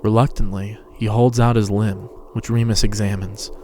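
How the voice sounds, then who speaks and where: A man's voice: on the low side, level tone, moderate pace, dark tone, slight background noise. A man giving a scripted, dramatic narration of a story. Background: music and sound effects.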